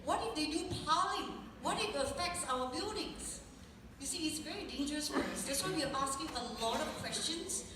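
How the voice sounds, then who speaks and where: A woman's voice: high-pitched, animated, normal speed, distant mic. A woman with a Malaysian accent speaking informally and conversationally as a resident at a town hall meeting. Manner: conversational, engaging the audience with questions. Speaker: resident speaking for concerned neighbours. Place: large indoor hall at a public meeting. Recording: echoey hall from afar, noisy crowd.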